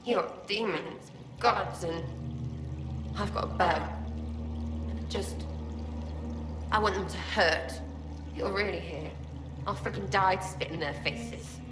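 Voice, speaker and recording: A woman playing a scrappy, rough-spoken young archer in a game, distraught, blunt and halting. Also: on the low side. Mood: bitter, vengeful. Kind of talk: dramatic game voice acting. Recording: echoey room.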